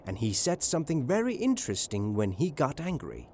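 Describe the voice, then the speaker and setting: A man playing a confident, shrewd detective in a dramatised audiobook, polite, measured and knowing. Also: mid-range voice, wildly swooping pitch, a little mellow, slight background noise. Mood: satisfied, intrigued.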